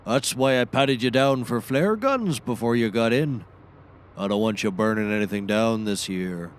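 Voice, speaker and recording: An actor playing a folksy, dryly sarcastic backwoods man in a scripted comedy, with deadpan delivery. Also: medium pitch, normal speed, natural voice. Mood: dry, wry. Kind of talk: scripted audio comedy acting.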